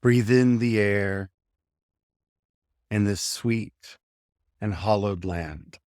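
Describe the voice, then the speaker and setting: A man leading a guided meditation, giving gentle instructions in short phrases with unhurried pauses. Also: lowish voice, dry recording, clean studio sound.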